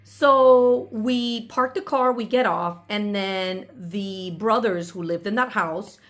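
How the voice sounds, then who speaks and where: A woman's voice: medium pitch, takes it slowly, a bit bright. A woman hosting her own channel, telling a personal story in a casual, conversational way, acting out bits of dialogue as she goes. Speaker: video channel host.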